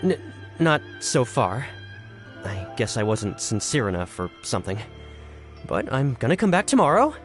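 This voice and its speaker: A male actor plays a dramatic, scatterbrained writer in a video game. His pitch swings wildly as he hems, exclaims and puts himself down. There is music behind him. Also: very animated, normal speed, close to an everyday voice. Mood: discouraged. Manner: hesitant, exclamatory, self-deprecating.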